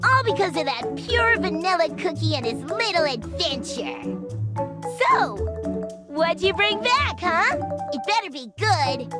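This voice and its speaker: A woman voicing a cocky, sharp-tongued young rascal with a brash, mocking drawl in a video game. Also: very high-pitched, very animated, unhurried, loud music behind the voice, exaggerated voice. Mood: teasing.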